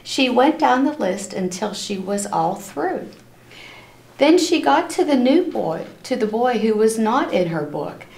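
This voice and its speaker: A woman reading a picture book aloud to children in an expressive, animated storytelling style, stopping to address her listeners. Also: a little high, vocal fry. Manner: animated, acts out characters' lines, pauses to ask listeners questions. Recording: roomy, echoing room sound.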